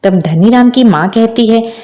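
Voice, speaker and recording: A woman narrates a scripted moral tale in a high-pitched voice. She tells it as a storyteller, moving through the events as written. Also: a little slow, warm tone, roomy sound.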